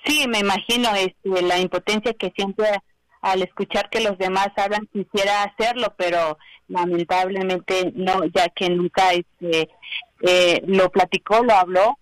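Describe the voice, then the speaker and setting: A man's voice: high-pitched, steady tone, a little slow, a bit bright, no echo, poor audio. A man hosting a radio interview, summarizing his guest's words with frequent fillers. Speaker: radio host.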